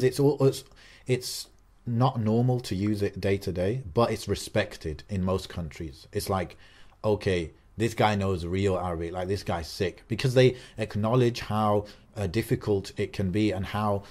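A man's voice: fairly low pitch, darkish tone. A young man of Algerian background co-hosting a podcast, talking casually with lots of fillers and restarts. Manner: talkative, many fillers and restarts. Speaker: podcast co-host.

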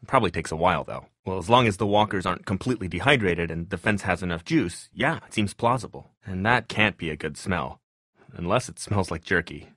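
A nerdy, mild-mannered survivor in a zombie video game. His voice sits fairly low and is recorded close and dry. He talks in an earnest, slightly hesitant way and turns pedantic when he explains things. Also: expressive, brisk, a bit bright, no room sound. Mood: curious, thoughtful. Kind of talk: naturalistic video game voice acting.

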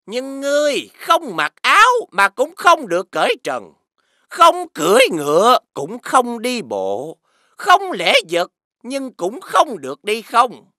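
A man voicing an arrogant, demanding foreign envoy in an animated fairy tale, with a haughty, scolding delivery. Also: very high voice, slow, no echo, clean studio sound, exaggerated voice. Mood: smug, formal. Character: arrogant, demanding male envoy of a great kingdom. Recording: music behind the voice.

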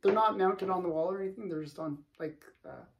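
A man's voice: high-pitched, a little fast, vocal fry. A man in his late forties hosting his own channel, chatting casually to camera from his home comic room with small laughs between points. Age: 40s. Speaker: comic collector channel host. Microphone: camera in front.

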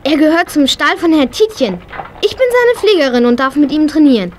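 Eager high-voiced horse-loving girl. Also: sing-song, fairly quick. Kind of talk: German children's audio play acting. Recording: noisy vintage audio play recording.

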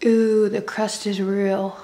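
A woman's voice: medium pitch, little pitch movement, takes it slowly, warm tone. A young woman casually vlogging at home, chatty and playful, muttering asides to herself into a camera held close. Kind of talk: casual vlog. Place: home kitchen and living room. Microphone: handheld camera held close.

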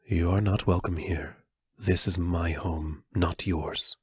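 Grave male mentor, played by a man, low and measured, close dry sound, unhurried old-ballad delivery. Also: fairly even intonation, breathy edge, no room sound, exaggerated voice. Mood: firm. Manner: measured, solemn. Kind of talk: audio play acting with verse recitation.

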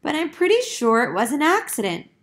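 A woman's voice: high-pitched, wildly swooping pitch, brisk, voice pushed up, full character voice. A woman voicing a polite, earnest, helpful monkey in a children's storybook. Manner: courteous, earnest.

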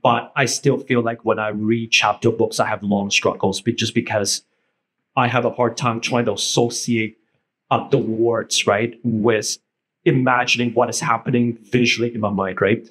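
A man's voice: mid-range voice, level tone, moderate pace, fairly bright tone. A man whose first language is Cantonese, sharing casually at a panel table, rambling and friendly with frequent "right?" tags, into a handheld mic in a meeting room.

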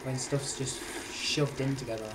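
A man's voice: mid-range voice, fairly even intonation, normal speed. A teenage boy chatting and explaining while playing a game with his girlfriend, in a bossy, correcting way. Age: teens. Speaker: gamer. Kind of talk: casual gameplay chat. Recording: distant, noisy room recording.

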